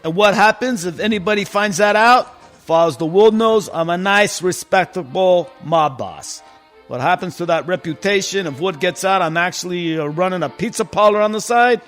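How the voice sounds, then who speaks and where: An actor playing a stressed, irritable Italian-mob boss in a comedic radio play, blustering and hesitant. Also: male voice, high-pitched, normal speed, fairly bright tone, soft background music. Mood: exasperated. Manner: blustering, hesitant, peppered with mild swearing. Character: stressed, irritable Italian-American mob boss who secretly runs pizzeria.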